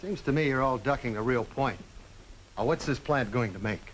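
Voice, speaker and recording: An actor playing an embittered war witness in a 1950s film drama, blunt and challenging, on an old soundtrack. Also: male voice, mid-range voice, normal speed, fairly bright tone, slight background noise, lightly acted, rough recording. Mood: bitter, insistent.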